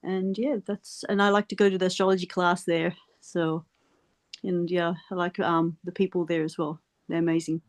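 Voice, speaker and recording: A woman's voice: on the low side, level tone, normal speed. A woman introducing herself chattily, correcting herself as she goes. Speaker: debate panelist. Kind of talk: casual self-introduction.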